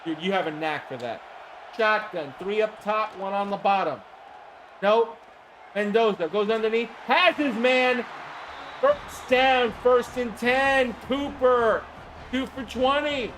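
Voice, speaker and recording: A man calling a simulated football game on a live stream, hoarse from the flu, chatting with viewers and breaking into excited shouts on big plays. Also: high-pitched, takes it slowly, a little hiss or hum. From Florida. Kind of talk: live-stream play-by-play commentary.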